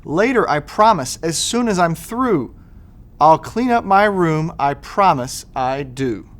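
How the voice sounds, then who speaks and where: A man's voice: on the high side, lively intonation, slow pace. A man voicing a playful, eager little girl in a children's storybook, with a bouncy rhyming delivery. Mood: promising. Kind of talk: children's storybook read-aloud.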